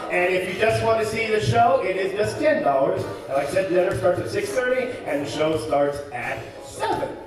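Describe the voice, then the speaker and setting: Male live-show host, folksy announcer patter, recorded from a distance with music and loud audience noise around him. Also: high-pitched. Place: community hall, live before an audience. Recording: echoey hall from far off, music and audience noise.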